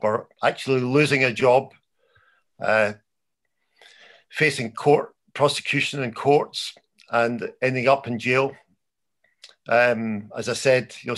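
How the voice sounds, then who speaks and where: Man, strong Scottish accent, conference speaker on a video link, halting with fillers. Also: medium pitch, fairly bright tone. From Scotland. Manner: frequent fillers and restarts, self-deprecating. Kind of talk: conference talk. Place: home in London over video call.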